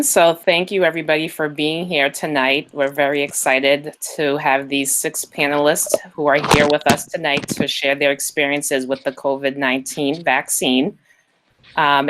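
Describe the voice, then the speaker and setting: A woman's voice: sits low, a bit bright, poor audio. A woman moderating a virtual panel on a video call, friendly, with many fillers.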